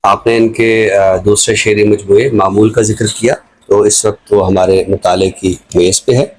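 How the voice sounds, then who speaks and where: Measured middle-aged Pakistani man, lecturing. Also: fairly low pitch, fairly even intonation. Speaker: literary commentator and teacher. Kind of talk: reflective talk show commentary.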